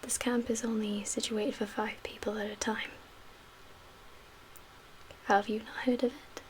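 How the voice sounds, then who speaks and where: Whispering, soft-spoken female survivor camp leader, acted by a woman. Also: on the high side, little pitch movement, close to an everyday voice. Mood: calm, explaining. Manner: gentle, measured, hushed. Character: calm woman leading a zombie-apocalypse survivor camp. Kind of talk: soft-spoken ASMR roleplay. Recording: clear background ambient noise.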